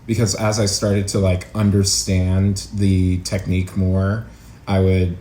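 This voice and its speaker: Low, mellow man in a roomy space. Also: flat delivery, a little slow. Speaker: guest, acting teacher. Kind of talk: casual interview conversation. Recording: roomy echo, faint background noise.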